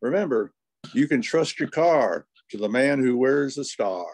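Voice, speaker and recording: A mellow-voiced male radio announcer played by an amateur actor. He delivers narration and sponsor plugs in folksy, old-time showman's patter. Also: mid-range voice, animated, measured pace. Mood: upbeat, presenting.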